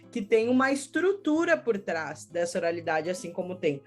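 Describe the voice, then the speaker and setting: A woman's voice: medium pitch, moderate pace, faint music behind. Southern Brazilian woman explaining in an interview. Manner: explanatory, frequent "né" tags. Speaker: schoolteacher, storyteller and researcher, interview guest. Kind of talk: conversational interview answers.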